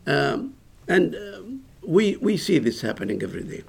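Older man, impassioned and gravelly lecturer. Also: high-pitched, sing-song, moderate pace. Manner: earnest, emphatic, rising to passion. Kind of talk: lecture and Q&A answers.

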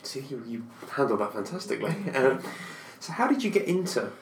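Polite podcast host in echoey room. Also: male voice, mid-range voice, big pitch swings, fairly quick, a little hiss or hum. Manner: polite, encouraging. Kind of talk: podcast interview hosting.

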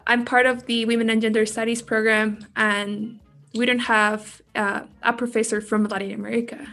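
A woman's voice: a little high, little pitch movement, normal speed, a bit bright. A young woman, a university student, explaining her views in a casual podcast discussion. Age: young adult.

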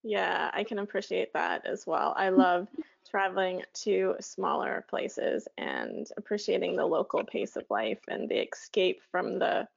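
A woman's voice: lowish voice, very animated, measured pace, vocal fry, highs cut off. A woman speaking as a podcast host in a casual conversational interview style.

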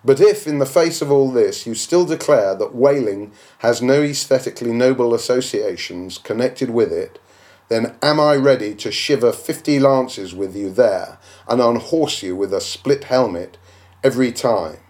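A man's voice: mid-range voice, lively intonation, moderate pace, a little mellow. Man giving declamatory literary narration. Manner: declamatory, oratorical, with rhetorical questions. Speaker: audiobook reader.

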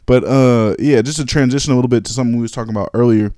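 A man's voice: mid-range voice, very fast, warm tone, no room sound, clean studio sound. A man hosting a podcast, asking a question in a loose, rambling way with "you feel me" and "like". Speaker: podcast host. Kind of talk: casual podcast conversation.

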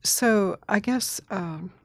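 A middle-aged woman, an interview guest who grew up in Thailand, speaking thoughtfully with restarts, soft laughs and throat clearing. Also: mid-range voice, drawn-out words, dry recording, clean studio sound. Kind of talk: reflective conversational interview.

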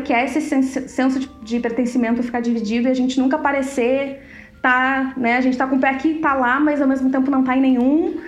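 A woman's voice: high voice, dull audio. Brazilian woman chatting in an echoey room. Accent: Brazilian Portuguese. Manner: chatty, laughs often, stretches words. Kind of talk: casual conversational chat. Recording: echoey room with faint music.

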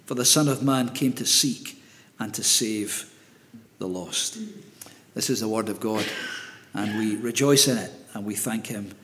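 A man reading a Bible passage aloud to a congregation in a steady, measured way. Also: lowish voice, a little hiss or hum. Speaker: scripture reader.

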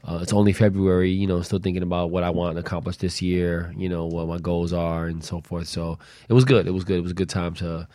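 A man's voice: deep voice, level tone, fast, few pauses, dark tone, no echo. An American man co-hosting a talk show, chatting casually with a joking, colloquial manner. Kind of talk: casual chat.

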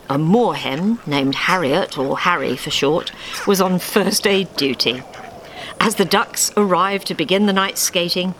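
Female children's storyteller, expressive and lively in intonation, live recording with faint background noise. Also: on the low side. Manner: expressive, addresses listeners directly. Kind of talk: scripted children's story narration read to a live audience. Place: theatre stage, live reading.

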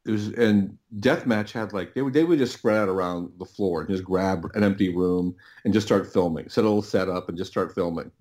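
Low-voiced, halting middle-aged man telling stories. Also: a little mellow. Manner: halting, rambling, repeats words as he thinks. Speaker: podcast guest, audio producer. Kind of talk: casual interview storytelling.

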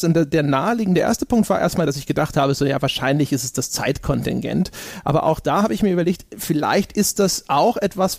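A man's voice: a little high, creaky, darkish tone, no room sound, clean studio sound. A man, talking reflectively and conversationally in a casual podcast discussion. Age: 40s. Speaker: podcast panelist, former film academy lecturer. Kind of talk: casual podcast discussion about video games.

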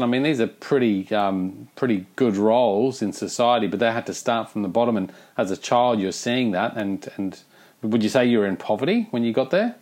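A man hosting a conversational podcast interview in a halting, informal, matey way. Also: medium pitch. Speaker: podcast host.

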